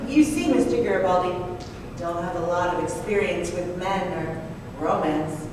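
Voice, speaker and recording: A woman playing a troubled, sheltered, rich young wife in an old-time radio drama, prim and halting with melodramatic touches. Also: lowish voice, close to an everyday voice. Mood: demure, confiding. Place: live stage performance in an echoey room. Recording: echoey hall, distant mic, clear noise.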